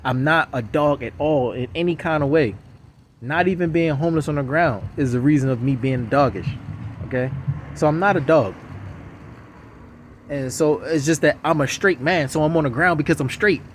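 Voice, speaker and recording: A man's voice: on the high side, normal speed, slight background noise. A man giving a casual, rambling monologue to camera outdoors on city streets, repeating himself insistently. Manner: repetitive, insistent, circles back to the same points. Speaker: vlogger.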